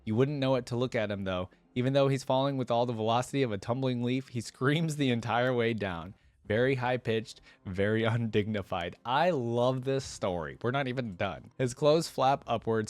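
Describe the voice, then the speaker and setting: A man's voice: medium pitch, normal speed, dry recording. A man reading a story aloud as a reaction host, with informal, slangy asides, recorded close on a microphone.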